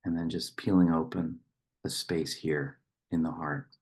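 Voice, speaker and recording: Man, extremely low pitch, slightly raspy, gentle, meditative, reading and guiding with long pauses. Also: fairly even intonation. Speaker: guided meditation leader and poetry reader. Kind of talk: slow poem reading and guided meditation.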